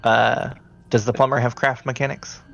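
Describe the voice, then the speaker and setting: A man running a tabletop horror game as game master, talking in a halting, conversational way. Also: medium pitch, little pitch movement, creaky, a bit bright, highs cut off.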